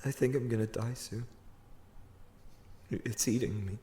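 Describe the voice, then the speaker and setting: A man's voice: medium pitch, fairly even intonation, dark tone, roomy sound, natural voice. An actor playing a young man falling apart alone, stammering, swearing and near tears. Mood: distressed. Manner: halting, stammering, swearing, voice cracking.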